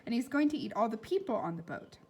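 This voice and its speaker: An earnest storybook girl, acted out by a woman reader, indignant and theatrical. Her pitch sits high and swings widely, and she leans hard on her words as she makes her case. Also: sing-song, fast, darkish tone, husky, exaggerated voice.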